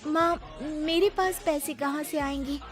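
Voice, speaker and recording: A young married daughter from a cartoon morality tale, played by a woman, with a high voice. She is dutiful and self-respecting, earnest and placating in the way she talks. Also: fairly even intonation, unhurried, soft background music. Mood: hesitant. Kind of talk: Hindi cartoon moral story acting.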